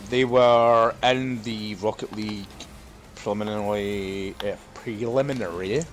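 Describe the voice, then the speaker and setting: Joking man with clear background noise. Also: lowish voice, fairly even intonation, unhurried. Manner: joking, sweary, laughs often. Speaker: podcast co-host. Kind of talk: casual banter while gaming.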